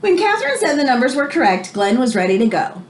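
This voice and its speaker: A woman reading a children's book aloud in an expressive way, as if explaining it to young listeners. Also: sits high, quick pace. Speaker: read-aloud storyteller.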